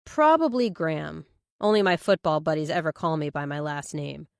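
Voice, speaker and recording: A woman's voice: low-pitched, moderate pace, vocal fry, no room sound, clean studio sound. A woman voicing a bashful, awkward male police detective in hesitant, sheepish audiobook dialogue. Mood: sheepish, earnest.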